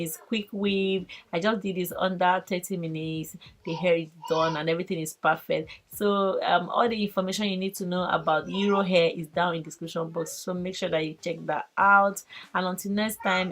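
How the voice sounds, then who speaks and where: A woman casually explaining a hair tutorial as she works, halting and full of "you know". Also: on the low side. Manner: halting, filler-heavy demonstrating. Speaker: hair vlogger, host.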